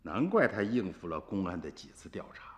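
Earnest rural uncle, voice swinging widely. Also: male voice, low-pitched, big pitch swings, fairly quick, in a large hall. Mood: grave recollection. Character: earnest, suspicious rural uncle telling the past to a grandchild. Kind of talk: Chinese radio drama acting and narration. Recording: music behind the voice.

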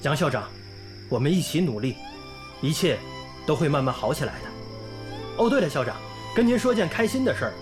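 An actor playing an earnest, idealistic young volunteer teacher in a radio drama, polite and sincere in manner. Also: male voice, fairly high pitch, very animated, brisk, pauses often. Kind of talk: radio drama acting and narration. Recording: music bed under the voice.

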